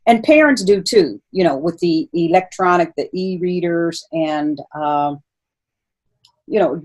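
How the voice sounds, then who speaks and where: A woman with a slightly low voice hosts a casual interview. She speaks earnestly, often repeating a phrase to drive it home. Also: animated, unhurried. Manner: earnest, repeating words for emphasis. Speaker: host, interviewer.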